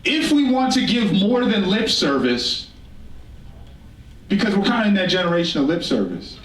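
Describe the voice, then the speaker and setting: Echoey bit far from mic, american guy with a high voice doing a storytelling style talk, chatty and wry. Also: big pitch swings, moderate pace, fairly bright tone. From United States, Northern Plains. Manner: conversational, rhetorical repetition, wry humour. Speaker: public speaker, storyteller. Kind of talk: live conference talk, informal storytelling. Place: indoor stage talk in a roomy venue. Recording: roomy echo, distant microphone.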